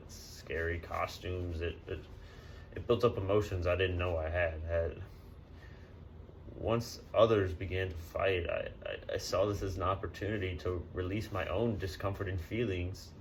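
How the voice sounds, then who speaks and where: A man plays a disgruntled, sheepish guy from a theater audience. His voice is low and subdued, with halting, slangy grumbling in a mock newsreel interview, over faint noise. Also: rough recording. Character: disgruntled laid-back male audience member, sheepish brawler.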